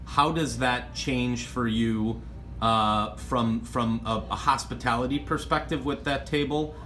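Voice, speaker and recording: A man's voice: mid-range voice, fairly even intonation, unhurried, fairly bright tone. A man interviewing a coworker, asking long questions full of restarts and fillers. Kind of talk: workplace interview.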